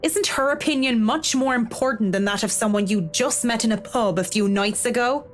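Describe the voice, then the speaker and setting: A woman's voice: a little high, moderate pace, close to an everyday voice. A woman playing a frank, practical young professional in a visual novel game, dry, blunt and informal, with music behind her. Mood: blunt, advising.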